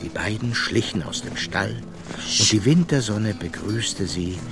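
A man's voice: fairly low pitch, expressive, measured pace, gravelly, over music. A man narrating a children's radio play as a scripted story.

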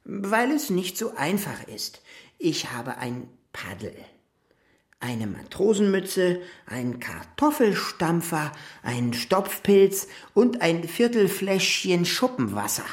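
Dreamy little would-be seafarer Mr., low-pitched for a woman, slow, earnest, stubborn, children's radio play. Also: big pitch swings. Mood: matter-of-fact. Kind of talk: German children's radio drama acting.

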